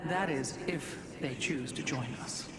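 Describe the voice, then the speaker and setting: A man's voice: medium pitch, unhurried, very noisy, natural voice. An actor playing a skeptical, wary male alien warrior in a video game cutscene, speaking formally and pointedly. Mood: guarded.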